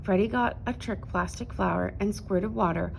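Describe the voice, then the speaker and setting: Woman, children's read-aloud narration, gravelly creak, expressive, faint background noise. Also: medium pitch, moderate pace, poor audio.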